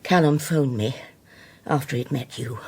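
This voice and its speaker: Low, husky older Scottish widow. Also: female voice, measured pace, a little mellow, full character voice. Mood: grave confession. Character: grave, devout older widow confessing a terrible deed. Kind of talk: naturalistic radio drama acting.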